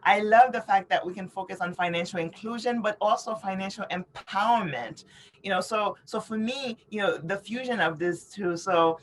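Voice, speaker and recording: A woman's voice: mid-range voice, moderate pace, a little hiss or hum. Woman explaining earnestly in an interview. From born in Haiti, raised in New York City. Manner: earnest, explanatory, frequently checks with "you know" and "right?". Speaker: interview guest, author and advocate. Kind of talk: interview answers.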